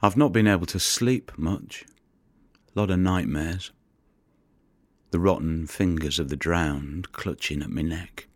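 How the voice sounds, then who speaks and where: An actor playing a weary, haunted fisherman in an audio drama monologue, husky, brooding and halting in a confiding way. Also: sits low, no room sound. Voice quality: gravelly, husky. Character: weary, haunted male fisherman who recovers the drowned.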